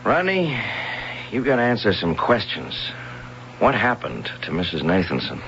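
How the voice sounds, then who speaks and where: Impatient American suburban husband for a radio drama: brusque, animated, on a dull vintage broadcast. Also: male voice, on the low side, moderate pace, slight background noise, heavily acted. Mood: stern. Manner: brusque, exasperated. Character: gruff, impatient suburban husband minding a child. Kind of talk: old-time radio drama acting. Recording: dull old radio broadcast, faint music.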